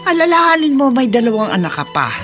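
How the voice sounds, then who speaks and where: A woman's voice: sits high, very animated, fast, over music. An actress playing an older woman, a concerned and firm mother and grandmother, motherly and admonishing, in a radio drama. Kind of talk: Tagalog radio drama acting.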